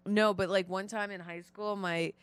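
A woman's voice: mid-range voice, fairly even intonation, moderate pace, clean tone, dry recording. A young woman from the Chicago suburbs chatting casually on a podcast, swearing often and filling her talk with fillers. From Chicago North Shore suburbs.

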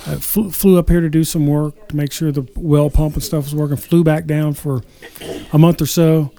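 A man's voice: on the high side, steady tone, moderate pace, gravelly, mellow. A middle-aged man telling a personal mishap in casual chat, plainspoken and exasperated.

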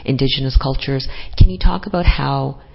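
A man speaking in a measured way at a conference panel discussion, framing a question for a panelist. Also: mid-range voice, gravelly, soft background music. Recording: dull lo-fi conference recording.

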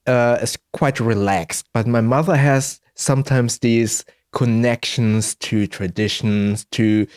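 A man's voice: mid-range voice, darkish tone. A man raised in Germany, hosting a podcast and asking questions in a thoughtful, hesitant way with many fillers. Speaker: podcast host. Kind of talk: casual conversation.

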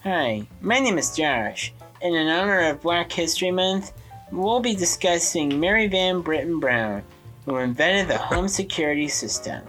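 A woman's voice: lowish voice, lively intonation, a little slow, a bit bright, faint music behind. A woman presenting a scripted history segment for a podcast.

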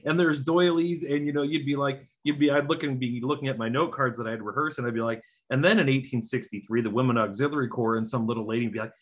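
A man's voice: mid-range voice, steady tone, quick pace, hardly any pauses, a bit bright. A man hosting a podcast, telling a story in a laid-back, self-deprecating way and swearing casually. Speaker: podcast host. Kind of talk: conversational storytelling.

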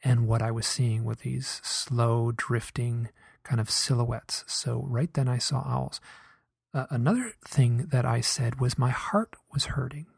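A man speaking in a hesitant, soft-spoken and earnest way, reflecting on a personal experience in a monologue. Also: mid-range voice, unhurried, gravelly, slightly rough, no echo, clean studio sound. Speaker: podcaster recounting a hypnosis session.

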